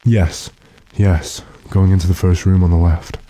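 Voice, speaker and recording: A man playing a resolute, impatient gentleman master in old-time radio drama, giving commanding, clipped instructions. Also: low-pitched, little pitch movement, measured pace, dry recording. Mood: intent, hushed.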